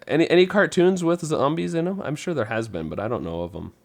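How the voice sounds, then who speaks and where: A man's voice: a little high, lively intonation, fast, creaky. A middle-aged man talking casually as a podcast host, putting questions to the group. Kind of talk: casual round-table chat.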